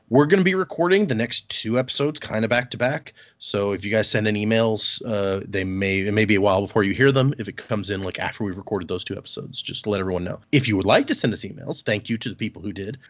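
A man's voice: on the low side, no room sound. A man hosting a podcast in casual chat, rambling and restarting his phrases, with a laugh here and there. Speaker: podcast host. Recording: dull, muffled podcast audio.

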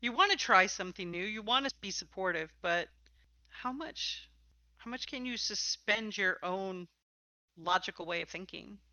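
A woman hosting a conversational interview, talking in an animated, questioning way. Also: mid-range voice, normal speed, dry recording. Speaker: podcast host and interviewer.

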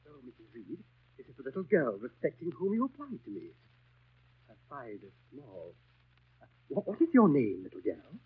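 An actor playing a pompous, sanctimonious school overseer, preaching sternly in 1930s radio drama style. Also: male voice, medium pitch, wildly swooping pitch, moderate pace, slightly rough, full character voice. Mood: stern, condescending. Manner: pompous, preachy, exaggerated swoops. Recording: muffled vintage 1930s radio recording.

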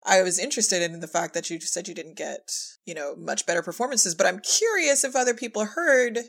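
A woman's voice: mid-range voice, lively intonation, fairly quick, vocal fry, a bit bright. A woman chatting casually in a conversational interview, talking through her own experience as a director. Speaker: audio drama director and podcast guest.

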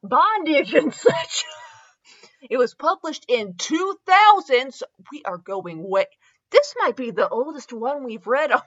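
Female comedy podcast reader, very animated pitch, measured pace, giggly, mock-dramatic, prone to asides; slightly muffled lo-fi recording. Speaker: podcast host reading fanfiction.

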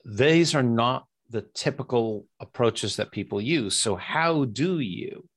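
A man's voice: mid-range voice, animated, slow pace, breathy edge. A man in his fifties interviewing a guest, friendly and a little hesitant, on a remote audio call. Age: 50s. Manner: hesitant, friendly, laughs easily. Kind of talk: conversational interview.

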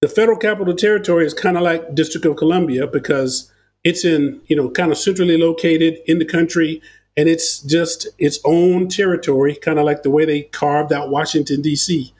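An American man, a podcast guest, telling stories in animated conversation, often restarting his sentences. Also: fairly high pitch, fairly quick. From United States. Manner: animated, uses analogies, repeats words when starting thoughts. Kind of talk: conversational storytelling.

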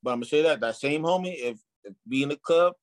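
A man's voice: fairly high pitch, quick pace, no room sound, studio quality. A man who is a podcast guest, chatting casually and telling brash, boastful stories. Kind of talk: casual chat, telling anecdotes.

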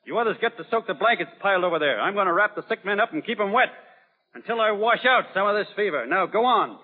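An actor playing a stern, weary, commanding army doctor with a Southern US accent in an old film drama. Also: male voice, high voice, animated, fast talker, in a large hall. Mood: brisk, commanding. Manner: forceful, clipped orders. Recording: muffled, narrow-band old film soundtrack.

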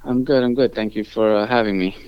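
A man from Queens, a restaurant owner talking casually as a guest, rambling with lots of fillers and restarts and jumping up in pitch when he gets animated. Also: brisk, smooth, dry recording, dull audio. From New York City, Queens, Ecuadorian family. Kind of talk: casual interview talk.

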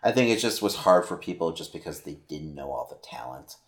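Deep-voiced casual podcast guy. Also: lively intonation, brisk. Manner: loose and rambling, lots of fillers, swears, laughs easily. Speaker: podcast co-host.

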